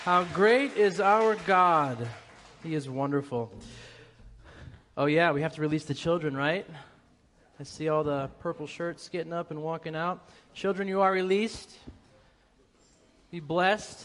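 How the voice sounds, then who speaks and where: A young adult man with a faint Boston accent, preaching a lively church sermon and joking with the congregation. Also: fairly high pitch, a little slow. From New England, US. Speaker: guest preacher.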